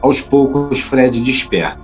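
A man's voice: medium pitch, lively intonation, slow, a little mellow, muffled recording, soft background music. A man reading scripted scene narration for an audio drama.

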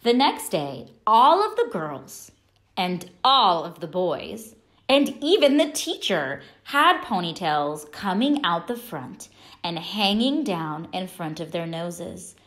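A woman reading a children's picture book aloud as a storyteller, expressively and deliberately, with dramatic pauses. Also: fairly low pitch, sing-song, a bit bright.

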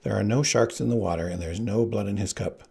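A man voicing a young princess whose hushed inner thoughts are wary and quietly defiant. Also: on the low side, quick pace, vocal fry, breathy edge.

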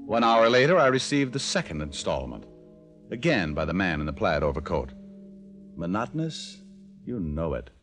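An American actor playing a wisecracking hardboiled private detective in a mid-century radio drama, dry and deadpan. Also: male voice, low-pitched, normal speed, full character voice. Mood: deadpan narration. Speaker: lead actor and narrator in a detective radio serial. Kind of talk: 1950s American radio acting, first-person narration. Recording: old radio broadcast with music.

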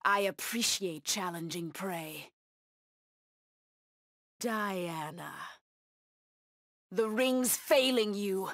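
An actress voicing a fierce, bloodthirsty feline huntress in a video game, with a menacing, haughty delivery. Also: female voice, medium pitch, drawn-out words, pauses often, dry recording, studio quality. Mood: coolly taunting. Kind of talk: video game voice acting, short combat taunts.

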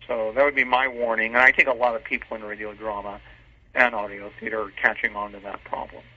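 A man's voice: on the low side, level tone, quick pace. Man in a dull-sounding phone interview. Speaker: interview guest, radio drama producer. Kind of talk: casual interview talk.

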